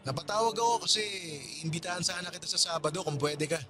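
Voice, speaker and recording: A man's voice: a little high, quick pace, fairly bright tone, close to an everyday voice. A man playing a friendly, apologetic and generous buddy, with a Filipino accent, in radio drama style. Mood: friendly, inviting.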